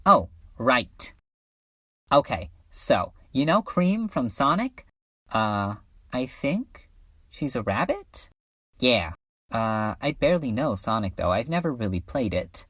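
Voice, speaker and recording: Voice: medium pitch, very animated, slow pace, a bit bright, no room sound, studio quality, heavily acted. A woman voicing a laid-back cartoon cat creature in a comedic dub, with a casual, slangy manner. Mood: casual, playful.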